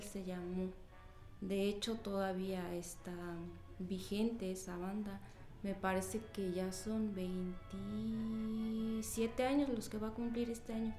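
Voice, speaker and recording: A woman's voice: medium pitch, darkish tone. Mexican woman in an echoey room. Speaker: musician interviewee. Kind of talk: personal recollection in interview. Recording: echoey room, music underneath. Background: music.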